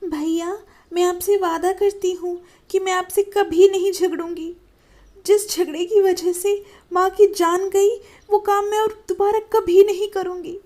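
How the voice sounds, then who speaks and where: Woman as a meek young bride; very high, soft, pleading. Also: steady tone, unhurried. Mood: solemn.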